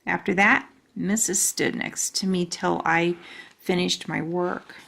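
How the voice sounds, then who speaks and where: A woman's voice: on the low side, steady tone, slow pace, vocal fry, fairly bright tone. A woman reading a children's storybook aloud as a storytime reader.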